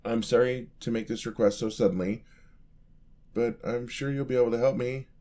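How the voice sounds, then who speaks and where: A man's voice: mid-range voice, fairly even intonation, moderate pace, mellow, close to an everyday voice. A man playing a clumsy, earnest young paladin apprentice in a fantasy ASMR roleplay, with a hesitant, bashful, polite stammer. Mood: grateful.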